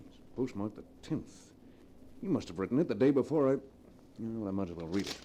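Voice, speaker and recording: An actor playing a cold, smug, murderous millionaire in a 1940s radio mystery, speaking with deliberate, melodramatic menace. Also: male voice, on the low side, animated, dark tone, a little hiss or hum, lightly acted. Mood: scowling, musing. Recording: vintage 1940s radio recording.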